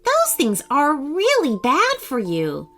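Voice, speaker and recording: A woman voicing excitable, easily shocked young fairies in a children's bedtime story, full of exclamation. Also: high-pitched, slow pace, pitched above the performer's own voice, exaggerated voice. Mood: shocked, disgusted.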